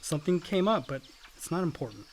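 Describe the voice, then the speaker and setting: A man's voice: fairly high pitch, very animated, fast. A man acting an earnest, dutiful young adult son, the family peacemaker, in a naturalistic radio play. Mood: calm.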